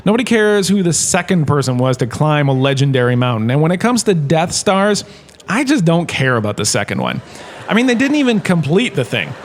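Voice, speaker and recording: A man playing a jaunty, opinionated history professor in a comedic audio drama lecture, chatty and theatrical, with emphatic pauses. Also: fairly high pitch, big pitch swings. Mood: opinionated, playful. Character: washed-up actor turned jaunty, opinionated male history professor.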